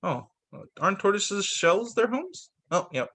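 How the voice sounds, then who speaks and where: Male primary teacher, lightly high voice, animated picture-book read-aloud with spontaneous asides. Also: measured pace. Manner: expressive, comments aloud on the pictures. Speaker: teacher reading aloud to young students.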